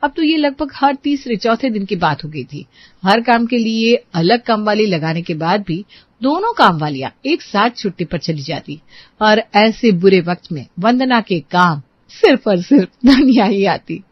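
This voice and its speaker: A woman's voice: medium pitch, lively intonation, moderate pace, no echo, dull audio. A woman narrating a scripted domestic story.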